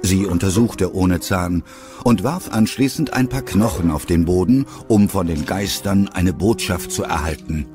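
A man's voice: sits low, normal speed, over music. A man narrating a scripted audio drama as its storyteller.

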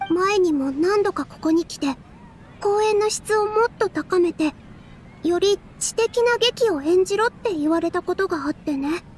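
高く優しい若い踊り子. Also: female voice, extremely high pitch, a little hiss or hum. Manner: soft, patient, sincere. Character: gentle, earnest young female dancer, encouraging friend.

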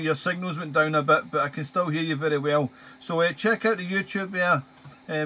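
Chatty Scottish man, slightly high voice. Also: fairly bright tone, slight background noise. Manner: chatty, repeats himself. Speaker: amateur radio operator, hobby video maker. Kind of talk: casual ham radio chat with call signs. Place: small outdoor radio shack.

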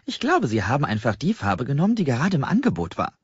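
A man's voice: medium pitch, huge pitch swings, quick pace, breathy edge, no room sound, dull audio, voice pushed up, full character voice. A man voicing a polite but opinionated young athletic woman in an audiobook, speaking emphatically. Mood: disapproving.

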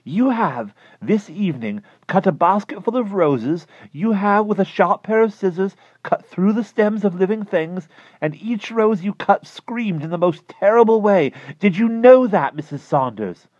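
A man voicing an excitable, peculiar man whose voice goes high and shrill with urgent excitement, on a dull lo-fi recording. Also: measured pace, no room sound. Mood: tense urging. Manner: urgent, pleading, breathless.

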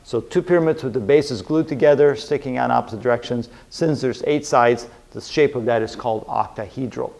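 A man's voice: mid-range voice, a little fast, gravelly, few pauses, a little mellow. A middle-aged man lecturing at a whiteboard, explaining step by step and often repeating his phrases. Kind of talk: chalk-talk chemistry lecture at a whiteboard. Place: indoor room with a whiteboard.

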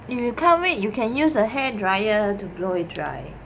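A middle-aged woman with a Singaporean accent giving a casual, improvised how-to, haltingly and repeating herself, with particles like lah and ah. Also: medium pitch. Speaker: vlogger giving a cleaning demonstration. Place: small bedroom with desk, indoors. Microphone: camera mic at arm's length on desk. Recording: noisy home recording with room ambience.

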